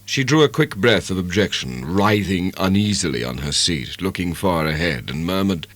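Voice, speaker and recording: Male narrator, measured literary storytelling, very lively intonation, close dry sound. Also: deep voice, dry recording. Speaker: audiobook narrator. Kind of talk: dramatic scripted narration of a classic novel.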